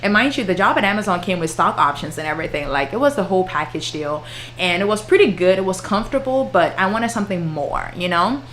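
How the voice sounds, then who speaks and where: Bright-voiced woman chatting live. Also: medium pitch, animated, fast talker, slight background noise. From Ghana. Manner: chatty, greets viewers by name, laughs often. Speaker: flight attendant and YouTuber. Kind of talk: casual livestream chat with viewers.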